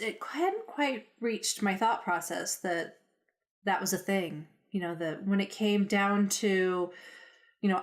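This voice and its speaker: A woman co-hosting a podcast, speaking thoughtfully in casual chat with many fillers. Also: medium pitch. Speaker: podcast co-host.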